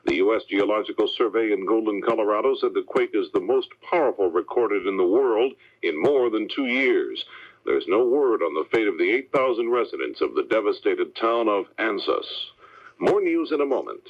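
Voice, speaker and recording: A man's voice: lowish voice, animated, moderate pace, highs cut off. An American man anchoring a scripted 1970s network radio newscast, heard on a vintage AM recording.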